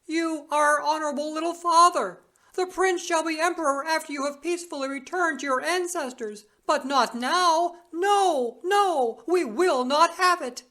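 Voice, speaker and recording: A woman voicing a shrieking crowd of island people, crying out in shrill pleading. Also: moderate pace, pushed and bright. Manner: shrill pleading, exultant cries.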